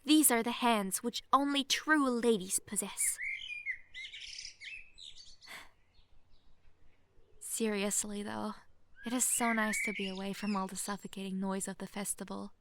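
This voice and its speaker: A young woman playing a sheltered, lonely princess who is playful, clingy and outspoken, in an expressive, chatty manner for an intimate roleplay audio drama. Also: mid-range voice, moderate pace, close to an everyday voice. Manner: expressive, chatty, swinging from giddy to wistful. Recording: faint background noise, hobbyist home microphone.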